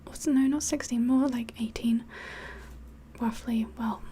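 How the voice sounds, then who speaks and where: A woman with a slightly mixed English accent whispers softly in a rambling, hesitant way, as if hosting an ASMR livestream chat. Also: on the high side, fairly even intonation, unhurried, a little hiss or hum. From England. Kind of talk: soft-spoken ASMR chat, mostly whispered.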